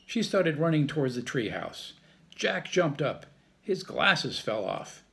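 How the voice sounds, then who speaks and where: A man reads a children's chapter book aloud with a lively, swinging delivery. His voice is fairly high for a man and carries a gravelly rasp. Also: takes it slowly. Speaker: staff reader.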